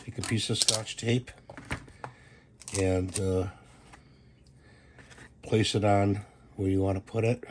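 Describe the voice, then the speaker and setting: A hoarse man with a very low voice talks through a do-it-yourself hack in plain, easygoing steps, like the host of a home repair channel. A faint hiss sits under his voice. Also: fairly even intonation. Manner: plain, unhurried instructions with fillers. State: hoarse from a cold. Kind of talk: casual how-to demonstration.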